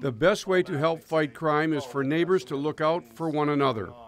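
A man narrating a local news segment in an even, measured reporting style. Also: fairly high pitch.

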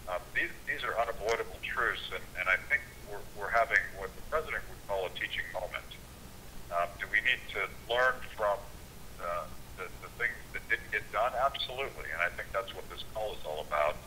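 A man's voice: lowish voice, a little fast, pushed and bright, slight background noise, low-quality recording. A man speaking on a conference call, earnest and often repeating words as he starts a thought. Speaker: conference call speaker, political activist leader.

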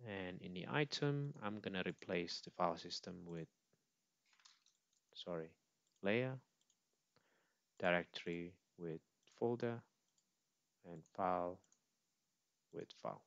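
A man presenting an informal step-by-step software demo, on a slightly dull recording with mouse clicks. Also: low voice, takes it slowly, vocal fry, pauses often, no room sound.

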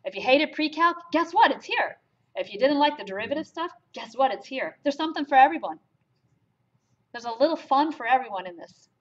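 A woman from Germany lectures a math class with emphatic, lively questions. Her voice sits on the higher side. The classroom recording sounds dull and lo-fi. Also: fairly quick. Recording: lo-fi lecture capture.

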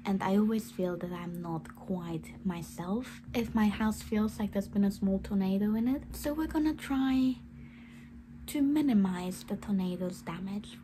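A young woman vlogging from her bedroom in a chatty, self-deprecating way, her voice slightly croaky after an illness. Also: mid-range voice, low-quality recording. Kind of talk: casual self-care vlog chat. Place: small bedroom at home.

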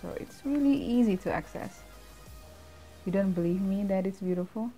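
A middle-aged woman speaks in a soft, mellow voice as she shows viewers around outdoors. She talks in a cheerful, welcoming tour-guide manner into a handheld phone. Faint music plays under her voice. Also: medium pitch, animated, normal speed. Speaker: travel vlogger. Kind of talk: casual vlog narration. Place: outdoors on a town street.

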